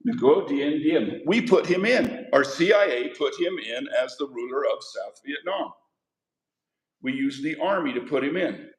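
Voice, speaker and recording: A man teaching history to a class, talking in an easy, conversational way and stopping to quiz his students. His voice sits at a middle pitch. The classroom gives it a clear echo. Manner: conversational, asks the class questions. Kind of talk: classroom lecture. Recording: echoey classroom.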